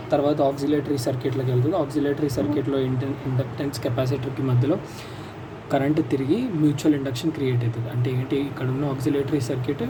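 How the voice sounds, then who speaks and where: A man with an Indian accent giving an informal explanatory lesson as a lecturer, repeating and rephrasing key points step by step. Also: mid-range voice. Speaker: physiotherapy lecturer. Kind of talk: informal explanatory lesson, mixing English terms into Telugu. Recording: distant mic, loud background vehicle noise.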